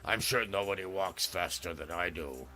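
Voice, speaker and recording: A man voicing a sullen young messenger in a slow, low mutter. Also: pushed and bright, faint music behind.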